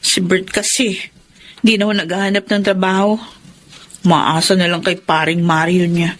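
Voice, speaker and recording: A woman's voice: on the low side, flat delivery, normal speed, close to an everyday voice. An actress playing a struggling young wife and mother in a radio drama, pleading with and then standing up to her drunk husband. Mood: worried. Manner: pleading, defiant.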